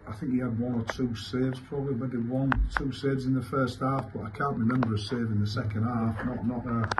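A man, answering as a football manager after a match in halting, repetitive, emphatic phrases. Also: mid-range voice, little pitch movement. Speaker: football manager giving a post-match interview. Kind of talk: post-match interview answers. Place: small indoor club press room. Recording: clearly noisy room ambience.

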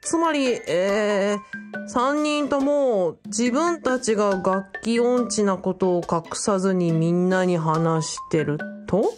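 A woman's voice: mid-range voice, slow, music in the background, lightly acted. A woman playing a flustered, earnest young male student in a radio drama, speaking in casual but deferential youthful language. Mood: bewildered.

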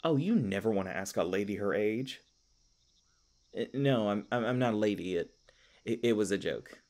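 Casting: male actor for kindly elf park ranger, lowish voice, stammering, self-deprecating, casual fantasy roleplay delivery. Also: expressive, moderate pace, darkish tone. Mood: chatty, sheepish. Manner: rambling, stammering, apologetic, self-deprecating humor. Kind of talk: fantasy audio roleplay, casual conversational acting.

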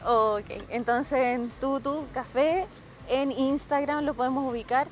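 A woman's voice: sits high, steady tone, unhurried, faint music behind. A young woman hosting an outdoor interview for local web TV, upbeat and promotional, speaking into a handheld mic. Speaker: interviewer and host. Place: outdoor town plaza.

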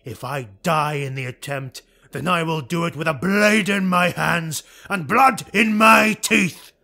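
A man voicing a cold, commanding, vengeful elf lord with an imperious, forceful delivery, for an audiobook. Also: fairly high pitch, measured pace, vocal fry, pitched above the performer's own voice, heavily acted. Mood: cold, defiant.

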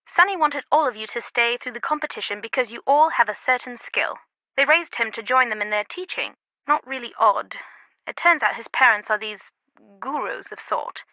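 A woman's voice: on the high side, pushed and bright, no room sound, poor audio. A woman playing a worldly, wry antiquities expert in an adventure game, talking in a conversational, confiding way. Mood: chatty, amused. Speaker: video game voice actor. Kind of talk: scripted adventure game dialogue.

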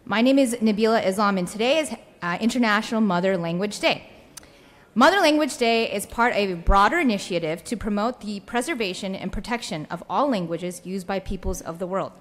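A woman's voice: mid-range voice, animated, brisk. A young adult woman, a state senator, reading a prepared floor speech earnestly at a podium microphone. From United States. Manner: earnest, read from notes. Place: large legislative chamber. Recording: long hall reverb in chamber.

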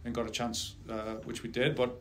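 Middle-aged man, flat steady coach. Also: mid-range voice, a little fast. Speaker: head soccer coach. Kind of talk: post-game press conference answers. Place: press conference room. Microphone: table mic on a stand.

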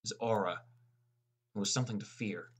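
A young man reading a scripted first-person audiobook as a depressed high school boy. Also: brisk, husky. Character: depressed, irritable high school boy telling his story. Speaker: audiobook narrator.

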